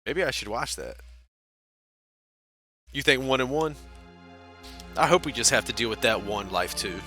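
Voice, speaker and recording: A man's voice: mid-range voice, bright, forceful tone. A man chatting casually with his live-stream chat while playing a game, talkative and self-deprecating. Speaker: speedrunner streamer.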